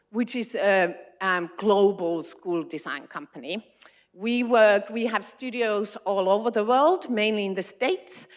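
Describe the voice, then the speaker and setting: A woman with a Finnish accent speaks to a big conference audience. Her pitch is in a middle range, and she sets the room small tasks in a friendly, engaging way. The tone is that of a keynote speaker. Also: a bit bright. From Finland. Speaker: keynote speaker, education consultant. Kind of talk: conference talk to a large audience. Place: large conference hall.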